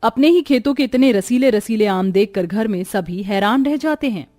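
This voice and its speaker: A female narrator tells a scripted tale. Her voice sits fairly high, and the recording is dry with almost no room sound. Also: animated, normal speed, mellow, no room sound.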